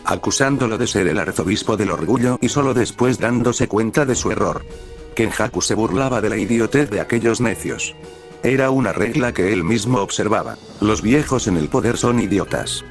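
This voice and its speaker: A young man reading fanfiction aloud in a steady, even narrating style. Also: fairly low pitch, brisk, soft background music. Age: young adult. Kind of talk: audiobook-style story narration.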